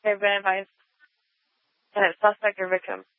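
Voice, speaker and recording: A man's voice: high-pitched, completely flat delivery, normal speed, no room sound. A man working as a police dispatcher, relaying terse, clipped, procedural messages over the radio. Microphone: radio dispatch microphone. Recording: tinny, phone-like police scanner audio.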